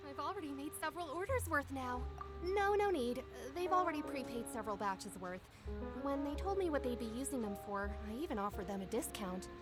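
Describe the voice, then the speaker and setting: An actress playing a friendly, talkative, practical workshop shopkeeper, a confident woman in a video game. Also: sits high.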